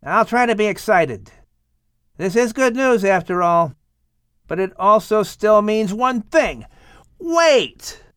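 A man voicing an eager, excitable young son who shouts his news. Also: high-pitched, slow pace, smooth, no room sound, clean studio sound, pitched above the performer's own voice, heavily acted. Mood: excited.

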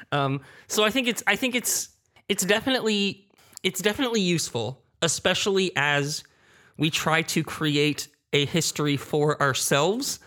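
A man with a fairly high-pitched voice talks in a relaxed roundtable discussion. He is candid and works out his thoughts as he goes, often rephrasing them. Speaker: audio drama creator and sound designer, roundtable panelist.

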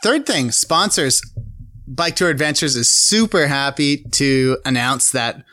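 A man's voice: mid-range voice, lively intonation, a little slow, sharp tone, no room sound, clean studio sound. A man co-hosting a casual podcast, upbeat as he runs through announcements. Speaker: podcast co-host.

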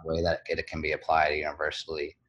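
A man speaking as an interview guest, candid and reflective, pausing often with fillers and restarts. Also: very deep voice, completely flat delivery, muffled recording. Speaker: guest, blogger.

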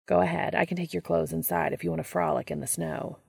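A woman reading a story and voicing a gently encouraging, dry-humored man in a calm, matter-of-fact way. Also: low voice, fast talker, vocal fry, breathy edge, no room sound, exaggerated voice. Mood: fond, dry.

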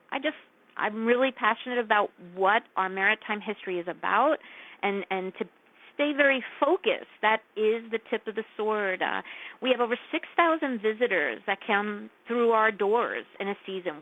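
A woman's voice: mid-range voice, animated, normal speed. A woman who runs a museum, speaking as a radio interview guest in an earnest, enthusiastic way and laughing often. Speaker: museum executive director, guest. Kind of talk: radio interview, casual chat. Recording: tinny phone line.

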